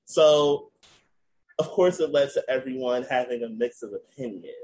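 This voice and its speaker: A man's voice: mid-range voice, normal speed, darkish tone, poor audio. A man hosting a casual podcast, recounting a news story to his cohosts in a storytelling way. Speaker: podcast host.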